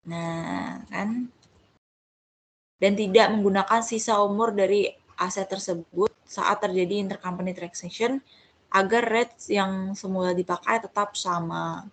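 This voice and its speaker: A woman's voice: mid-range voice, steady tone, takes it slowly, pauses often. A woman giving an informal step-by-step tutorial as a tutor, conversational and often correcting herself. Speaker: accounting tutor.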